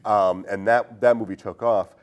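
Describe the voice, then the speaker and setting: A man's voice: lowish voice, steady tone, normal speed. A man hosting a casual film discussion podcast, thinking aloud and posing questions as he goes. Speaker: podcast host.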